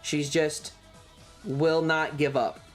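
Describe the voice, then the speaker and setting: A man's voice: fairly high pitch, fairly even intonation, slow, faint music behind. A man telling a story casually on a livestream, rambling and swearing in an exasperated way, acting out bits of dialogue as he goes. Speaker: streamer.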